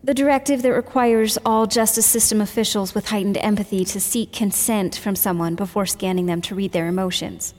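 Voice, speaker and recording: A woman's voice: medium pitch, steady tone, a little fast, hardly any pauses, darkish tone, natural voice. A woman playing a guarded, candid witness under questioning in an audio drama, answering tersely and carefully. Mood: contrite, composed. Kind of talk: fiction podcast audio drama. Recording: echoey hall with long reverb.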